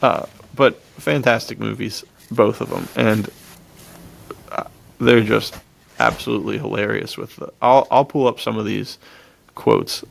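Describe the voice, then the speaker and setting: Chatty American man with a creaky voice. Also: lowish voice, steady tone, a little slow. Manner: chuckles often while talking; informal, slangy, says "dude" a lot. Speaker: podcast host. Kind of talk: casual film discussion and anecdotes.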